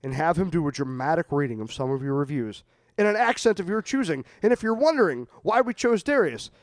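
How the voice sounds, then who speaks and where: A man's voice: fairly high pitch, animated, brisk, no room sound, studio quality. A man hosting a podcast, giving an upbeat, chatty outro that urges listeners to subscribe and review. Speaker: podcast host.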